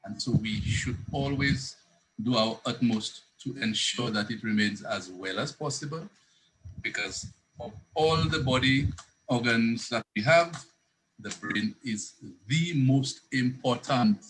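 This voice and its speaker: Bright-voiced male psychiatrist. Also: mid-range voice, measured pace, slight background noise, low-quality recording. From Saint Lucia. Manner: earnest, self-correcting, rephrases as he goes. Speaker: psychiatrist guest. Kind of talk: explanatory interview talk.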